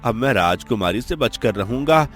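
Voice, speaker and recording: A man's voice: mid-range voice, animated, normal speed, a bit bright, faint music behind, natural voice. A man voicing wary, curious villagers who gossip earnestly in a conversational cartoon story dialogue. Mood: wary, concerned.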